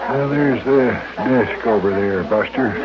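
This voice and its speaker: A man playing a steady, kindly grown-up who calmly guides his companions in a children's radio serial. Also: medium pitch, measured pace, natural voice. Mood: reassuring, calm. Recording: muffled old radio recording with music.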